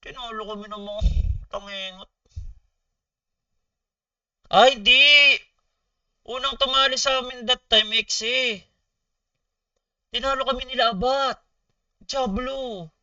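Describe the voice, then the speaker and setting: A Filipino man chatting casually on a livestream, telling stories in animated, slangy, swear-laden talk to his viewers. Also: extremely high pitch, slow, pauses often, dry recording, far from the mic, lo-fi sound. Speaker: gaming streamer. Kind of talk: casual storytelling livestream chat.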